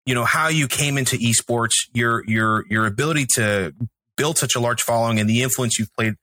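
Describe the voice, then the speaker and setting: A Texan man talks loosely and chattily as a podcast co-host. His tone is bright and a little tense, and the mic is close and dry. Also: medium pitch, brisk, no room sound, low-quality recording. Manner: chatty, full of restarts and fillers. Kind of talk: podcast interview.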